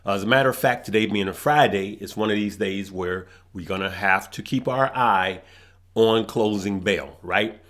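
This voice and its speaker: A man's voice: fairly low pitch, moderate pace, fairly bright tone. A man hosting a stock market show, talking casually to viewers and saying he is losing his voice, rambling with restarts and tagging his points with "right?". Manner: rambling, restarts phrases, tags lines with "right?".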